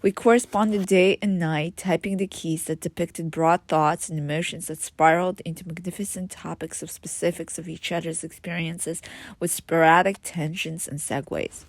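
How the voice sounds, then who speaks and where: A woman with a low, grounded voice narrates her own life story in English. She reads in an earnest, reflective way and now and then stumbles and restarts a word. The recording is close and dry, with no room sound. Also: steady tone, moderate pace, dry recording. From Israel. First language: Hebrew. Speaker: memoir author reading her own book. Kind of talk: first-person memoir narration.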